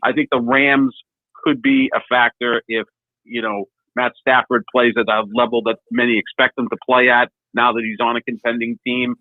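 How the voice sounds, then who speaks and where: A man in his fifties calls in to a sports radio show and talks football with a dry, teasing wit. His voice comes down a tinny, narrow phone line with no room around it. He sits at a middle pitch. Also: flat delivery, moderate pace, dry recording. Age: 50s. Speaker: guest caller.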